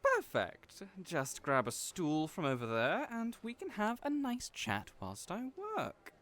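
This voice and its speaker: A man's voice: a little high, wildly swooping pitch, takes it slowly, a bit bright, pitched above the performer's own voice, heavily acted. A man putting on a flamboyant, teasing hairstylist. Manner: fussy, playful, mothering. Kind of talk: audio roleplay acting.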